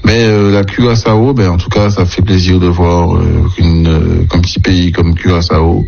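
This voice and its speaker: A man giving his opinion casually and hesitantly, often pausing to find his words. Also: sits low, flat delivery, creaky, a little mellow, soft background music. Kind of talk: casual opinion, answering a question. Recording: dull lo-fi sound.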